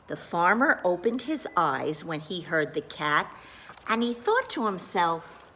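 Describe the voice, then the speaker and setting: A children's librarian, a woman, reading a picture book aloud to young listeners, playfully pausing before each animal sound and asking them questions. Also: fairly low pitch, sing-song, pushed and bright, a little hiss or hum.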